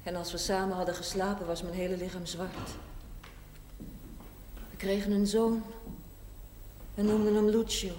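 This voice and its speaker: An actress playing a proud, middle-aged woman with a hard past in a television drama, telling her story bluntly and deliberately. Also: mid-range voice, little pitch movement, a little mellow, lightly acted. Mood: frank, reminiscing. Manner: blunt, matter-of-fact, deliberate. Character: frank, proud 45-year-old former prostitute telling her life. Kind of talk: theatrical monologue in television drama. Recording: echoey, faintly noisy 1970s TV recording.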